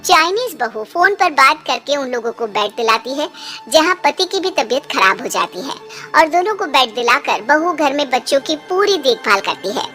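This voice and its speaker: A woman storyteller with a strikingly high, bright voice narrates a scripted moral tale for cartoons. Soft music plays behind the narration. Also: big pitch swings, normal speed.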